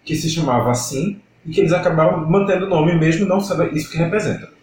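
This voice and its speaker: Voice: mid-range voice, quick pace, darkish tone, distant mic. A laid-back young adult chats agreeably on a podcast. The voice sits away from the mic in a very echoey room, with faint noise behind. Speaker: podcast co-host. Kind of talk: casual podcast chat.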